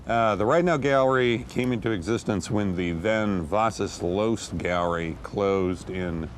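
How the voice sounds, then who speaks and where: Middle-aged man, low voice, rambling, restarts sentences, faint noise. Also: a little slow. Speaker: gallery founder. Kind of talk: documentary interview.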